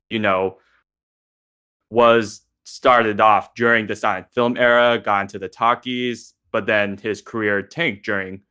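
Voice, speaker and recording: Male panelist, crisp bright timbre, lowish pitch, measured and explanatory. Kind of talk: panel discussion.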